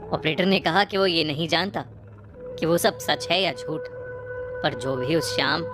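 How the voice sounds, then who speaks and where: A woman narrating a scripted horror story in a measured, suspenseful way, phrase by phrase. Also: on the low side, expressive. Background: prominent music bed.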